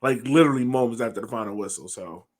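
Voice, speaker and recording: A man's voice: medium pitch, fast. An American man hosting a sports podcast, chatting casually on a headset over a video call. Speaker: podcast host. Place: small home room, indoors.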